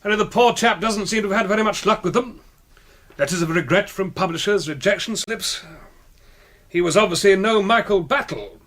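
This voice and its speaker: An actor playing a suave, faintly menacing English gentleman in a radio drama, polite and drily teasing. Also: sits high, brisk. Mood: urbane, teasing. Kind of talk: British radio drama acting.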